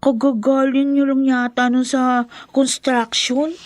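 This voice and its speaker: A woman's voice: high voice, flat delivery, takes it slowly, no echo. An actress playing a protective, frank schoolgirl best friend in a Tagalog radio drama, with a Filipino accent. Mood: concerned, frank.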